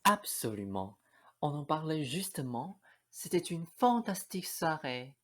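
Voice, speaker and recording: A woman playing a vain, scheming, domineering mother in a theatrical radio play, with a husky voice. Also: deep voice, big pitch swings, a little slow, a bit bright, full character voice. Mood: fawning, sly. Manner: scolding and cursing at her daughters, obsequious to superiors.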